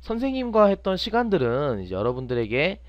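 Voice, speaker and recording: Lively-pitched male tutorial instructor, slightly muffled. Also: fairly quick, dry recording. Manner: asks and answers own questions. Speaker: teacher, online programming instructor. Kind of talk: step-by-step screen tutorial, unscripted.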